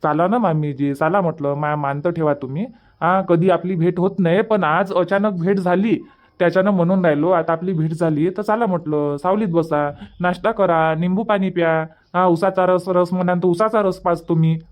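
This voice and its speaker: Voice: medium pitch, steady tone, moderate pace, lightly acted. A performer voicing a polite, busy young village man in a Marathi cartoon comedy, with a Varhadi accent and a respectful, coaxing manner. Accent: Varhadi Marathi from Vidarbha.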